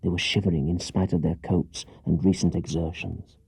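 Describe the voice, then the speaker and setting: A man narrating a children's story as an audiobook reader, with dramatic, scripted delivery and a husky voice. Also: deep voice, flat delivery, moderate pace, darkish tone, no echo.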